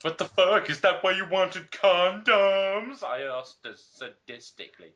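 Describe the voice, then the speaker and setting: A young man with a fairly high, bright voice reads over-dramatically, slow and emphatic, with big swings in pitch. The sound is dull and lo-fi. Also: expressive. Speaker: player in a comedic dramatic reading game. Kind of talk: over-dramatic read-aloud with outbursts. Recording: dull lo-fi mic, faint noise and clicks.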